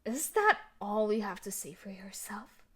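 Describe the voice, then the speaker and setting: A woman's voice: mid-range voice, big pitch swings, measured pace, fairly bright tone, slightly rough, heavily acted. A woman playing a tough, sadistic, possessive bully fiancée in a dramatic audio roleplay, scolding and emotionally intense. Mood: angry, possessive.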